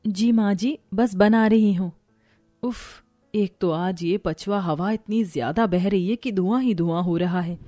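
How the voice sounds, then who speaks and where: A woman's voice: medium pitch, a little slow, warm tone, no room sound, faint music behind, natural voice. Lady doing a poor meek young wife, dutiful and plaintive, cartoon tale, dry mic. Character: meek, self-sacrificing poor young daughter-in-law.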